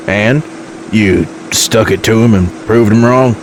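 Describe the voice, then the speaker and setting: A man acting as a seasoned-sounding, cool-headed trucker in an audio drama. His voice sits low, with folksy, wry delivery. Engine noise is clear behind him in the cab. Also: measured pace, lightly acted. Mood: calm, wary. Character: unflappable, wry male long-haul tanker trucker. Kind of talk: naturalistic audio drama dialogue. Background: vehicle engine.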